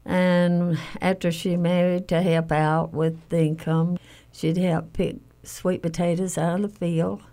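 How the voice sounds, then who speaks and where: A woman's voice: lowish voice, flat delivery, unhurried, few pauses, dark tone. An older woman from Alabama, recalling family life in a conversational interview. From Alabama, United States. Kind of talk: conversational recollection.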